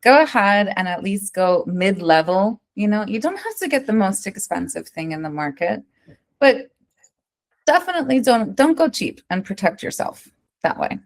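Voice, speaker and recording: An American woman working as an interior designer, answering interview questions in a lively, conversational way and often checking in with "right?". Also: mid-range voice, normal speed. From United States. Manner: animated, keeps asking "right?", tells stories.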